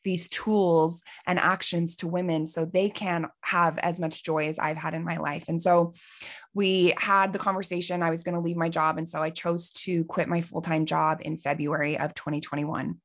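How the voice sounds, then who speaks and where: A woman in her forties, a life coach hosting her podcast, telling a personal story in an earnest, heartfelt way, her voice a little hoarse from allergies. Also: on the low side, fairly even intonation, moderate pace. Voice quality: slightly raspy.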